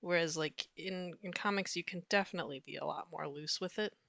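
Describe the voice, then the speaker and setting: A hoarse young woman rambling casually about her comic work on a live stream, self-deprecating and full of fillers. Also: on the low side, brisk, fairly bright tone, dry recording. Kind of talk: casual rambling while drawing.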